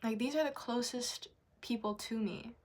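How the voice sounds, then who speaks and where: A woman's voice: a little high, moderate pace, darkish tone. A young woman vlogging candidly about her own life in a frank, rambling, confessional way. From Japanese, raised in Indonesia and New Zealand. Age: young adult. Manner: confessional, frank, rambling with fillers and mild swearing. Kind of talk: candid personal vlog.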